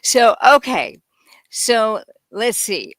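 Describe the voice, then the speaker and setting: A woman hosting a radio interview, chatty and quick to laugh, asking long rambling questions. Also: a little high, big pitch swings, no room sound. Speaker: radio show host. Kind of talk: casual interview chat.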